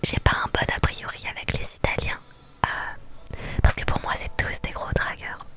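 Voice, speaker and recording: A woman's voice: normal speed, pushed and bright. A woman streamer whispering playful, chatty commentary while she plays a dating game. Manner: playful, chatty, laughs easily.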